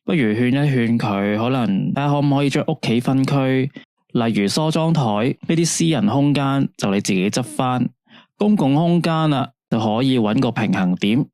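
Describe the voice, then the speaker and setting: Late-night radio host with a Hong Kong Cantonese accent, unhurried, soothing and dry-sounding, played by a man. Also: mid-range voice, lively intonation, a little mellow, dry recording, natural voice. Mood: calm. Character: male late-night radio phone-in host, calm and advising. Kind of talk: radio drama acting.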